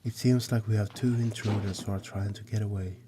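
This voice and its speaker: Flat-voiced man narrating. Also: on the low side, a little fast, background noise. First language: Swedish. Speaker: YouTube film analyst. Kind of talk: scripted narration. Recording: voice-over with guitar music behind.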